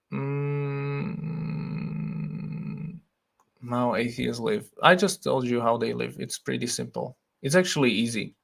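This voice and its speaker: A young Slovak man with a Slovak accent, hosting a casual livestream, reading comments and thinking aloud in a relaxed way with frequent laughs. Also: mid-range voice, gravelly. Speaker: livestream host.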